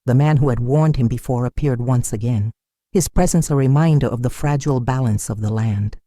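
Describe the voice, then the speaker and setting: Mellow, dramatic story narrator. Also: medium pitch, fairly quick, no echo, clean studio sound. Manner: solemn, suspenseful storytelling.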